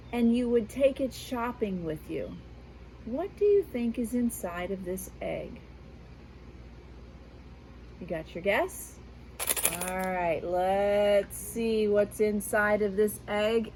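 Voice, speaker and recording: A woman's voice: fairly high pitch, slight background noise, low-quality recording. Teacher lady doing a storytime read aloud for little kids, patient, talks right to them, takes her time. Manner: patient, teacherly, speaks directly to children. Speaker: school media specialist. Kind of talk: children's read-aloud lesson. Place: outdoors on a back porch.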